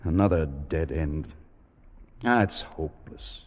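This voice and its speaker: An actor playing a weary, fearful time traveller, narrating gravely and deliberately in an old-time radio drama. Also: male voice, a bass voice, expressive, heavily acted. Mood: subdued, anxious. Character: Fowler, weary fearful male time traveller recounting his ordeal. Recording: muffled old radio recording with music behind.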